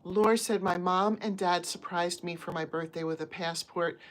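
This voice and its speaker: Slightly low-voiced American woman, middle-aged, hosting a casual home livestream on her phone. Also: fairly even intonation, normal speed, rough recording. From United States. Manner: chatty, friendly, talks to chat viewers by name. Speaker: crochet livestream host. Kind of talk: casual live chat reading viewer comments. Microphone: phone on a stand.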